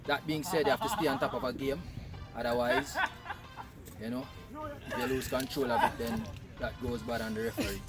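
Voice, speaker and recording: A man, a football referee, giving a measured, reflective interview answer. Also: mid-range voice, steady tone, loud music behind the voice. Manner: measured, reflective, with some restarts.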